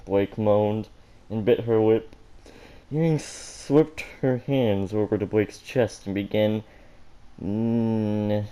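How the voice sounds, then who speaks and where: A man reading fan fiction aloud as an amateur, halting and stumbling over words, with joking asides. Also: on the low side, dark tone. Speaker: reader of fan fiction for an online audience.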